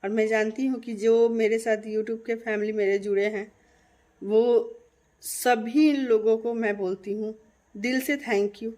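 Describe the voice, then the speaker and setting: A woman's voice: a little high, a little mellow. A woman from Bihar talking to her channel's viewers in an earnest, emphatic way, repeating her points. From Bihar, India. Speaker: vlogger. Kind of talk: casual direct-to-camera monologue.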